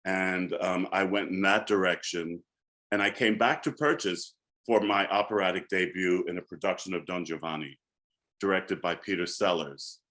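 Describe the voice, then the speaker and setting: A man in his sixties with a deep bass-baritone voice, reflecting on his student years as a panelist in an alumni discussion. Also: normal speed. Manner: reflective, turns animated in storytelling.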